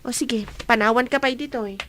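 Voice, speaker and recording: A woman's voice: on the high side, fairly quick, a bit bright, slight background noise, natural voice. An actress playing a young woman who is a probing, secretly jealous best friend in a radio drama. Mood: probing, reproachful.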